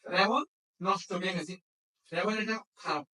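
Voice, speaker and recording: A man's voice: high voice, normal speed, bright, forceful tone. A man teaching a hands-on repair class, often checking understanding with questions. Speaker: phone repair instructor. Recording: echoey room, distant microphone.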